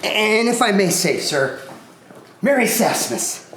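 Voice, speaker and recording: A man's voice: high voice, big pitch swings, slow, fairly bright tone, heavily acted. A man playing a meek, deferential clerk in an amateur stage reading, recorded in a very echoey, noisy room. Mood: meekly eager.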